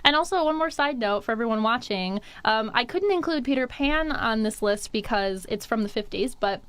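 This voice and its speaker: A woman's voice: on the high side, lively intonation, normal speed, a bit bright, clean tone, no room sound. Giggly young woman hosting a quiz. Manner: upbeat, giggly, frequent ums. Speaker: quiz show host. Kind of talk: casual chat.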